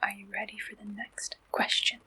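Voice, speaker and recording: A woman whispering an ASMR roleplay as an attentive dating service matchmaker, speaking breathily and deliberately in short phrases. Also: medium pitch, little pitch movement, bright, forceful tone, breathy edge. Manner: slow, deliberate questionnaire-style delivery in short phrases.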